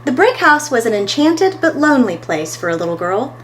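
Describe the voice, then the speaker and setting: Lady reading picture book to kids, voice a bit high, expressive, faint hum behind her. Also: fairly quick. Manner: expressive storytelling, talks to listeners between pages. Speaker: storytime host and reader. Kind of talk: children's picture-book read-aloud.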